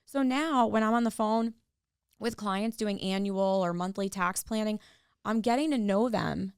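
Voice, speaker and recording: A middle-aged woman, a guest on a podcast, talking earnestly and warmly about her work and family. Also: mid-range voice, a little slow, clear, resonant tone, no echo, studio quality. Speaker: podcast guest, tax professional. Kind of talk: casual interview chat.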